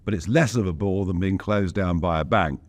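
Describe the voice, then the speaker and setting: A man's voice: low voice, fairly quick. A man being interviewed as a politician, speaking in an emphatic, outspoken way with wry asides. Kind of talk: casual interview talk.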